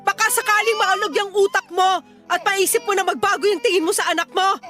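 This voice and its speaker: High-pitched, scolding Filipino grandmother. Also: steady tone, brisk, over music. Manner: scolding, emotional, pleading. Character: exasperated, protective grandmother scolding her cruel daughter. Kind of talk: Filipino radio drama acting.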